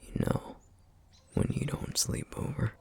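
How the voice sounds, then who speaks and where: A man playing a tender, teasing single-dad boyfriend in an intimate ASMR roleplay, with a husky, half-whispered, affectionate murmur. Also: a little slow, close to an everyday voice. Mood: fond, teasing. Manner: soft, hesitant, affectionate murmuring with soft chuckles. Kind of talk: ASMR roleplay, intimate one-sided dialogue to listener.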